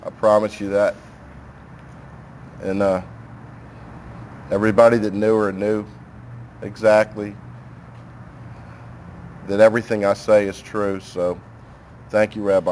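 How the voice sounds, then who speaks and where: A man giving a heartfelt, sincere funeral eulogy as a grieving son, speaking haltingly. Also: on the low side, little pitch movement, a little mellow. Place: funeral chapel. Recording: noisy live room recording.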